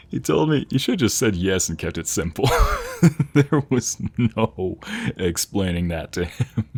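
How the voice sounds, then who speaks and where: A man playing an affectionate, playful husband in an intimate ASMR roleplay, speaking tenderly and teasingly with breathy, whispery softness. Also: medium pitch, heavily acted. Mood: playful, amused. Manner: teasing, tender, chuckling, with drawn-out soft phrases. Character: affectionate, playful young husband sweet-talking his wife.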